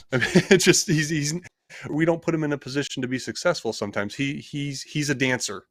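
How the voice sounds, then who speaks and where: A man's voice: fairly high pitch, brisk, dry recording, studio quality. A man co-hosting a casual sports talk show, rambling with many restarts and hedges. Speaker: co-host.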